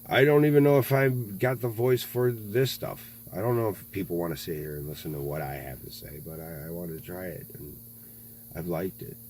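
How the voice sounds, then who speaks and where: A man in his thirties in a rambling political monologue, halting, full of fillers and frequent swearing. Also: low-pitched, a little mellow, breathy edge. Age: 30s. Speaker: video blogger. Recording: faint mains hum.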